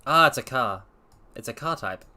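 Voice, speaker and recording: A man streaming a dramatic story reading, with theatrical, expressive delivery and casual asides. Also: mid-range voice, moderate pace. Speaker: streamer and reader.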